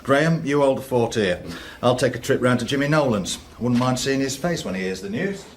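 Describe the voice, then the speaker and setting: An actor playing a senior police inspector in a radio drama, businesslike, terse and sardonic. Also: male voice, mid-range voice, brisk, a little hiss or hum, lightly acted. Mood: dry, brisk.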